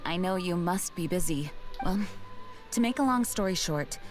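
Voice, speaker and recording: A woman's voice: on the low side, lively intonation, faint music behind, close to an everyday voice. Woman as composed, formal young knight commander. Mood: polite, weary. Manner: formal, measured, diplomatic. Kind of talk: video game dialogue acting.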